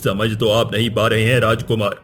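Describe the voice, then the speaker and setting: A man's voice: lowish voice, level tone, brisk, fairly bright tone, a little hiss or hum, lightly acted. A man playing a stern, dignified middle-aged king and father in a cartoon moral story, speaking formally with authority.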